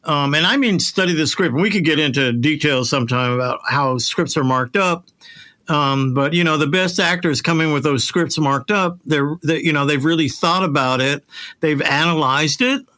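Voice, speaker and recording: A man speaks in casual interview chat, his voice somewhat high and distinctly bright. He comes across as an informal host and director talking shop in everyday phrasing. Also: moderate pace.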